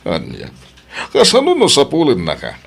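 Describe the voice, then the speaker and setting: A man's voice: medium pitch, wildly swooping pitch, normal speed, creaky, fairly bright tone, slightly rough, heavily acted. An actor with an Ilocano accent playing a stern, disapproving father in an audio drama.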